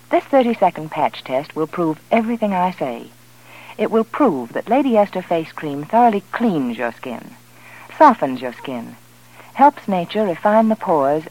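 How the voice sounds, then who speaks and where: A woman's voice: lowish voice, darkish tone, low-quality recording. A woman delivering a 1940s radio commercial with emphatic, persuasive, carefully articulated speech. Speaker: advertising spokeswoman.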